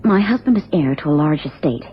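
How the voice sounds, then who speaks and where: A woman's voice: deep voice, lively intonation, brisk. A woman playing an anxious, genteel American wife in a radio drama, speaking formally and earnestly with careful phrasing. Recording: dull, noisy old radio recording.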